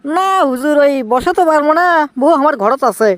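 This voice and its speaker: Man voicing a high-pitched whiny young Bogura husband. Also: animated, brisk, dry recording. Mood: pleading, evasive. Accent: Bogura Bangladeshi. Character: cheeky newlywed young husband, evasive and exasperated. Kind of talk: comic cartoon dialogue.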